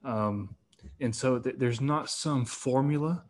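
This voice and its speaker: Man in his twenties, author guest, earnest, stumbling restarts, middle pitch. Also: takes it slowly, darkish tone. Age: 20s. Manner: earnest, with frequent restarts and fillers.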